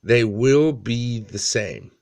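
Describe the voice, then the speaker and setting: A man in his thirties giving a casual how-to walkthrough in a halting, plain-spoken way, pausing often. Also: mid-range voice, animated, creaky, a little mellow. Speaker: amateur tutorial presenter. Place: small dim room indoors.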